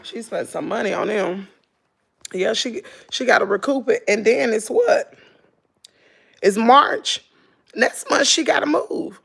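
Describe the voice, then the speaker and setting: A middle-aged woman with a Southern American accent, hoarse, chatting casually and gossiping with her viewers in a dramatic way. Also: a little high, animated, unhurried, pauses often, a bit bright. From Southern United States. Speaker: vlogger.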